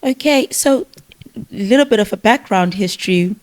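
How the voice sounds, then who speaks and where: Brief: South African woman author, chatty guest, laughs easily, mid pitch. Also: expressive, moderate pace. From Cape Town, South Africa. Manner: warm, laughs often, storytelling. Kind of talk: conversational interview.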